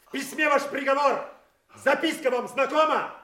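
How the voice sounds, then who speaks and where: Stern angry duke, radio play, very high pitched, bossy, echoey. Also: male voice, steady tone, normal speed. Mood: outraged, commanding. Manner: imperious, forceful. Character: commanding outraged duke, stern and righteous. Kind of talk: classical stage-style radio drama acting. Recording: echoey audio play recording.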